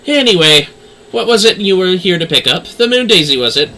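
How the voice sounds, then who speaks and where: A man's voice: high voice, sing-song, brisk, pushed and bright, slight background noise, pitched above the performer's own voice, heavily acted, poor audio. A man voicing a brash, shrewd female merchant in a video game, with a mocking, salty manner. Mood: mocking, brisk.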